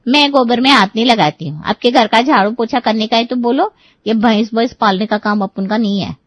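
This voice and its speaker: A woman's voice: a little high, fairly quick, lightly acted. A woman voicing a blunt, streetwise village-born housemaid in a cartoon, with slangy, sassy talk. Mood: defiant.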